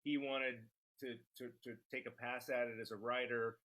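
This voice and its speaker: A man giving a measured, conversational explanation as an interview guest, with halting, deliberate phrasing. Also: medium pitch, flat delivery, a bit bright. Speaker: screenwriter and podcast creator, interview guest.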